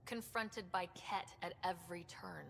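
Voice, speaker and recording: Creaky-voiced, composed young woman leader. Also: steady tone, a little slow, fairly bright tone. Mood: composed, firm. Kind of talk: video game cutscene acting. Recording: slight reverb, faint rumbling noise.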